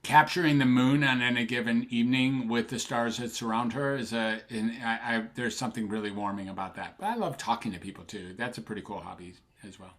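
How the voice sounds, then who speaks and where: A man's voice: mid-range voice, fairly quick, hardly any pauses, fairly bright tone. An older man, a psychiatrist guest on a podcast, chatting casually and enthusiastically with many fillers and restarts. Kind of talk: casual interview chat. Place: Sacramento, USA.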